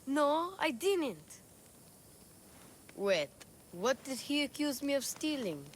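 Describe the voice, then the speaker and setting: A woman's voice: high-pitched, slow pace, a little hiss or hum, full character voice. A woman voicing an eager young boy who keeps interrupting in a game cutscene. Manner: excitable, interrupting. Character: eager young boy searching for his father. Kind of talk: video game cutscene acting.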